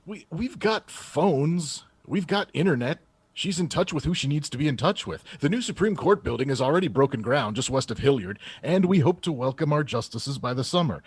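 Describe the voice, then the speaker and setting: An actor playing a confident, combative presidential chief of staff in a radio theater piece, answering in an assertive, polished politician's manner. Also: male voice, on the high side, animated, moderate pace, breathy edge, no echo. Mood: assured. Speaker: actor in an audio drama.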